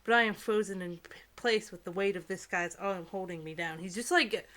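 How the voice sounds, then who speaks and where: A woman's voice: medium pitch, brisk, a bit bright. A young woman co-hosting a casual comedic read-aloud, breaking off for giggly, incredulous asides. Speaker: co-host reading book excerpts aloud.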